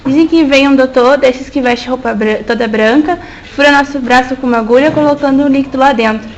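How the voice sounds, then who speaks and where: A man's voice: very high-pitched, steady tone, fairly quick, dull audio, slight background noise, pitched above the performer's own voice. A man voicing a fearful washerwoman in a radio drama, hesitant and stumbling over his words but insistent. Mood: wary. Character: fearful working-class washerwoman, woman.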